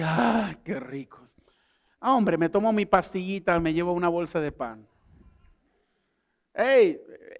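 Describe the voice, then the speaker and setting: High-voiced man preaching a lively sermon. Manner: conversational preaching with rhetorical questions. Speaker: pastor. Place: church service.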